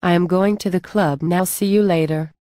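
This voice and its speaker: A woman's voice: lowish voice, steady tone, normal speed, dark tone, no room sound, studio quality, natural voice. A woman playing a manic, chatty, party-loving happy dwarf in an amateur fairytale. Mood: elated. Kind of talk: amateur storybook acting.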